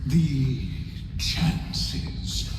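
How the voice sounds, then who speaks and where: Slow, echoing, theatrical villain scholar. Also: male voice, mid-range voice, lively intonation, a little mellow, a little hiss or hum. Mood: grand, courteous. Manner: slow, theatrical, drawn-out delivery. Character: sinister, theatrical male villainous scholar, amused and grandiose. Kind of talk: dramatic video game voice acting. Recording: echoey reverberant hall, music underneath.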